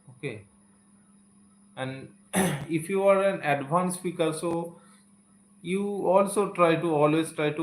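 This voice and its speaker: A man's voice: on the high side, a little hiss or hum. Indian guy teaching english on a livestream, santali first language, keeps saying okay, echoey room. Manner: earnest, repeats phrases, sprinkles "okay". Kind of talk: live-stream motivational talk to learners.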